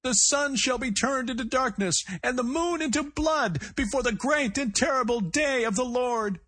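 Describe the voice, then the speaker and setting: A shrill, strained doomsday preacher. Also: male voice, fairly bright tone. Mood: fervent. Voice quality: high-pitched, strained, cracking. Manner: archaic scripture chanted slowly and fervently. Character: strained adult male fanatic preacher shouting scripture.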